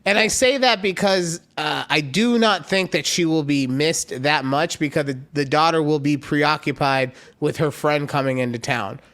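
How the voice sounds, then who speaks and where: Male podcast co-host, loose rambling delivery, slightly higher voice, casual chat. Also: moderate pace, few pauses, a bit bright. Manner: chatty, rambling, acts out quoted voices.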